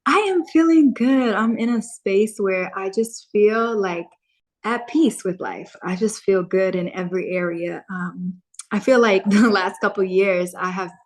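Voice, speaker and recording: A woman in her thirties from Chicago talks as a guest on a casual podcast. She speaks calmly and reflectively, pausing with ums. Her pitch is average for a woman. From Chicago, USA. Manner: calm, reflective, with frequent um and soft laughs. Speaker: podcast guest, content creator and author. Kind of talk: casual interview chat.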